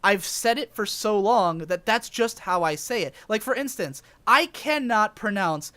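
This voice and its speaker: A man's voice: sits high, expressive, unhurried, bright, forceful tone, dry recording. A young man with a mild Southern American accent, co-hosting a casual podcast and earnestly explaining his point at length. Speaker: podcast co-host. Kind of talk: casual group podcast argument.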